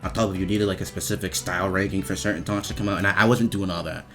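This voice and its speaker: A man giving casual, slangy, profane commentary as he rates video game voice lines, with an animated, emphatic delivery. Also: deep voice, fast, soft background music.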